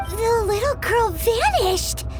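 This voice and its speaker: A tiny, childlike sprite in a video game. The squeaky voice is extremely high, eager and excitable, leaping around in pitch. Music plays behind it. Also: female voice, slow, heavily acted. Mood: startled, worried. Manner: exclamatory, eager. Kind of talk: cartoonish video game acting.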